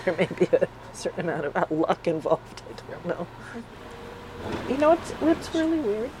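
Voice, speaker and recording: A woman talking gently and reassuringly in a casual, heartfelt conversation. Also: lowish voice, very animated, normal speed. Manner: gentle, reassuring, laughs softly. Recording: loud vehicle road noise.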